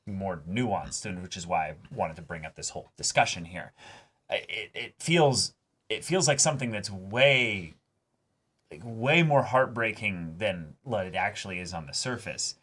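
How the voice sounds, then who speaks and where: A man's voice: lowish voice, expressive, moderate pace, fairly bright tone, husky. A man co-hosting a reaction show, talking through his analysis casually in an earnest, emphatic way. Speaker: co-host of an anime reaction show.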